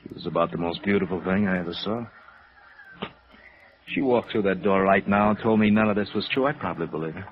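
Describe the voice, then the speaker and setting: An American actor playing an embarrassed, hesitant hotel manager who has been swindled, in a 1950s radio drama. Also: male voice, deep voice, brisk, close to an everyday voice. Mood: wistful, embarrassed. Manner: hesitant, rueful. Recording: muffled vintage radio recording.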